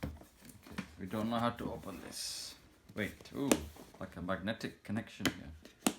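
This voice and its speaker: Low-voiced man, noisy recording. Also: a little slow. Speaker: vlogger unboxing a product. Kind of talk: casual unboxing chat. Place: small room at home, indoors. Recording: clearly noisy home recording with clicks.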